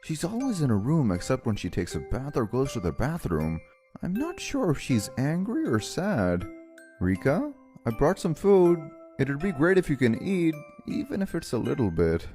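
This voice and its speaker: Man acting anxious young anime-dub guy, swooping pitch. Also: huge pitch swings, normal speed, dark tone. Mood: worried. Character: anxious, considerate young man narrating his romance. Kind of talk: anime-style dub acting and first-person narration. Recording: music bed behind the voice.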